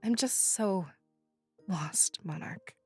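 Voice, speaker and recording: A woman's voice: medium pitch, takes it slowly, darkish tone, slightly rough. A woman playing a distraught, vulnerable young pirates' daughter in a video game, emotional and pleading. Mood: distressed. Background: music.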